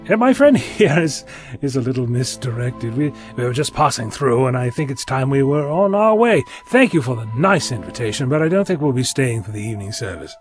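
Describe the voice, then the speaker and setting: An actor playing a chatty, wry, inquisitive time-travelling adventurer in an audio drama, talkative and flippant. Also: male voice, medium pitch, sing-song, fairly quick, faint music behind, natural voice. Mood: awkward, evasive.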